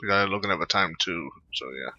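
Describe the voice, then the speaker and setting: A man with a fairly low voice and a hard, tense edge commentates on a game off the cuff. His delivery is halting, mumbly and offhand. The sound is dry and close, though somewhat dull and lo-fi. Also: sharp tone, dry recording. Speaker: gameplay commentator. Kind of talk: casual off-the-cuff gaming commentary.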